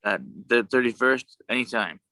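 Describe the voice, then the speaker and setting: A man chatting casually on a video call, with a worn, sometimes gravelly voice and a halting, rambling way of talking. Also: medium pitch, pushed and bright, no echo. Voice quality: gravelly at times. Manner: rambling, halting, many restarts. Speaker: show co-host.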